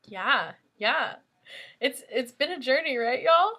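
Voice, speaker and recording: A woman with a fairly high voice moderates a casual online panel. Her talk is chatty and informal, recorded over a video call. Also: animated, takes it slowly, pushed and bright. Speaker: moderator of a panel with theater designers.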